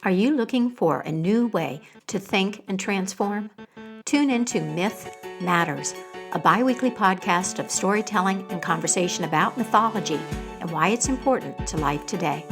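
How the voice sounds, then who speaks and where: A woman's voice: mid-range voice, animated, moderate pace. A woman reading a scripted promo as a podcast host. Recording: music behind the voice. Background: music.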